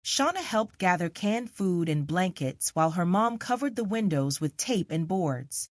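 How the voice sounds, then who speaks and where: A woman's voice: fairly low pitch, lively intonation, a little slow, no room sound. Woman narrating a children's story, dry sound.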